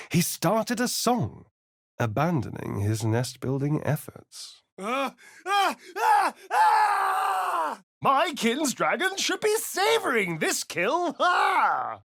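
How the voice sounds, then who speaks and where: An actor playing a suave, sardonic vampire rogue in a video game, vain and theatrical, with an arch, drawling delivery. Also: male voice, sits high, vocal fry, fairly bright tone, no room sound, clean studio sound, exaggerated voice. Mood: agitated. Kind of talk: dramatic video game character lines and narration.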